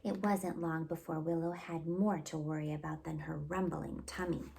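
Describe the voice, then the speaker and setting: A woman hosting storytime, reading a children's picture book aloud in an expressive, deliberate storytelling delivery. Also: deep voice, creaky, a little hiss or hum. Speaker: storytime host and reader.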